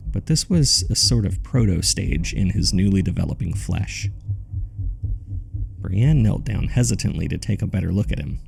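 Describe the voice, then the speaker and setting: A man narrating a horror audio drama as a grave witness, in a deliberate, somber storytelling manner. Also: deep voice, gravelly, warm tone.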